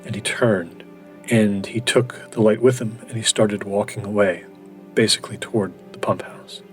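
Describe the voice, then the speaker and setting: A man playing a solitary seeker, recounting an eerie night search in a measured, hesitant way for a horror audio drama. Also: on the low side, fairly even intonation, natural voice. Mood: haunted, intent. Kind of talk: first-person horror audio drama monologue. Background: faint music.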